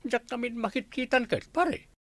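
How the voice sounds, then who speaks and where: Very high-pitched teasing village buddy. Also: male voice, moderate pace, vocal fry, fairly bright tone, no room sound, heavily acted. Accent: Filipino, Ilocano. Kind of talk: Ilocano radio comedy drama acting.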